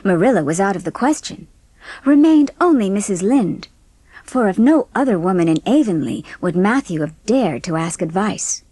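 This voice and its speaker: Lively woman narrator, dry close sound. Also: mid-range voice, moderate pace, slightly rough, dry recording. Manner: expressive storytelling. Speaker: audiobook narrator. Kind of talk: scripted storybook narration.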